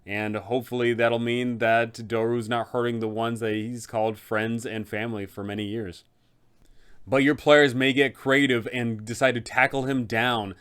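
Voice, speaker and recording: A man's voice: mid-range voice, flat delivery, moderate pace, fairly bright tone, clear, resonant tone. Man narrating a tabletop game guide. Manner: addresses the viewer directly, poses rhetorical questions. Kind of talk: explanatory video narration with advice for game masters.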